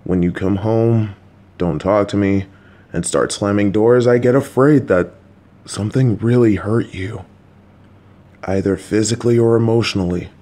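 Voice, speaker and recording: A man voicing a kind, worried, clueless father who talks to his child in a gentle, patient, anxious, rambling way. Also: fairly low pitch, normal speed, close to an everyday voice. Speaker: voice actor. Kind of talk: audio roleplay for a listener.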